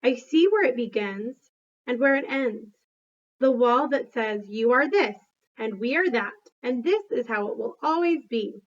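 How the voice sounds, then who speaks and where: Woman reading as a reflective gorilla, highish voice. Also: lo-fi sound. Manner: plain, measured, reflective. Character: thoughtful, gentle male gorilla narrator and artist.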